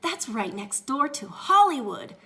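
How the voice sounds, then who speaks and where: A woman's voice: high-pitched, sing-song, measured pace, sharp tone, reverberant, voice pushed up, heavily acted. A woman voicing a dreamy young female javelina who longs to be a ballerina, in a theatrical, sing-song storybook manner. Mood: excited. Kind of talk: children's storybook character voice.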